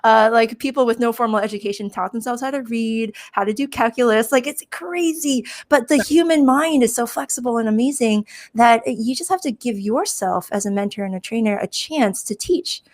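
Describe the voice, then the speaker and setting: A woman's voice: a little high, normal speed, few pauses. A woman whose first language is Chinese, talking candidly and with jokes as a guest on a casual panel conversation. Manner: upbeat, candid, joking. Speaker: guest, information security director.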